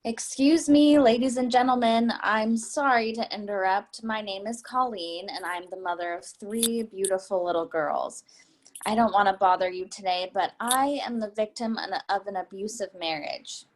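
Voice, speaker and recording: A woman's voice: medium pitch, normal speed, a little hiss or hum, natural voice, rough recording. A woman playing a middle-aged homeless beggar in a play reading, pleading in a sympathetic but rehearsed way. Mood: apologetic.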